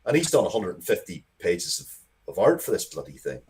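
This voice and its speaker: A man's voice: low voice, big pitch swings, brisk, a little mellow. A man chatting casually as an interview guest, rambling and often breaking off to restart his sentences. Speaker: interview guest, comics and game writer.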